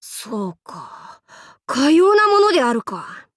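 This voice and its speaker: A woman's voice: sits high, very animated, slow pace, dry recording, studio quality, heavily acted. A woman voicing a bold, androgynous young demon-king warlord in an anime game, with boastful, archaic lordly speech and playful swagger. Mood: proud, resolute. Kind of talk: anime game character voice lines.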